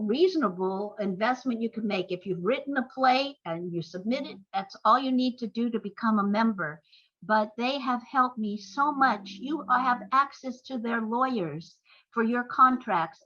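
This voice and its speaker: A woman's voice: on the high side, a bit bright, highs cut off. An older woman, a playwright guest on an interview podcast, telling anecdotes in a rambling, enthusiastic way.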